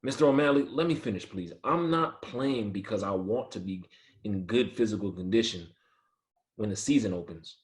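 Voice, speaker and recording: Low-voiced actor as a dignified ballplayer, a man. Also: close to an everyday voice. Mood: firm, defiant. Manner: measured, earnest. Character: proud, firm, dignified male ballplayer standing his ground. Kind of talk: dramatic table read.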